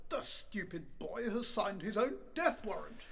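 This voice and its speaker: A man's voice: high voice, sing-song, measured pace, vocal fry, fairly bright tone, heavily acted. An actor playing a fussy, pious male college tutor in a radio play, severe and spluttering with outrage. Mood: outraged. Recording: echoey hall with long reverb.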